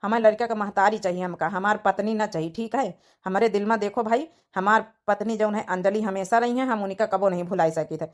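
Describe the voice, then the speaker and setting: A woman's voice: mid-range voice, steady tone, brisk, few pauses, lightly acted. A woman playing an earnest widowed village father in a cartoon drama, plain-spoken, with an Awadhi accent. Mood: earnest, firm. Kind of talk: cartoon comedy-drama dialogue in village dialect.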